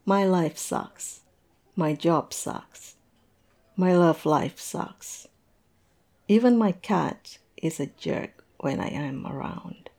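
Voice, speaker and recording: A woman's voice: fairly low pitch, lively intonation, slow, darkish tone, full character voice. A woman acting in a roleplay audio drama as a tipsy, lovestruck young woman, self-mocking and playfully theatrical. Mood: bitter resignation.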